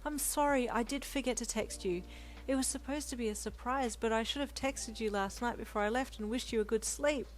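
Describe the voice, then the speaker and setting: A woman voicing a gentle, apologetic young husband who is a hero. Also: a little high, fairly quick, pitched above the performer's own voice, natural voice, rough recording. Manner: soft and apologetic.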